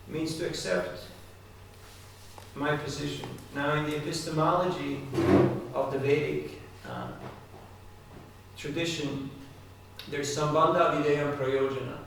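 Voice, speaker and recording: A man with a mid-pitched, gravelly voice delivers a devotional talk, turning often to his listeners with direct questions. He is recorded from a distance in a large, very reverberant hall. A faint hiss sits under the recording. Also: fairly bright tone. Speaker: spiritual speaker. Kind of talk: devotional talk to a live audience. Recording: echoey hall, distant mic, faint noise.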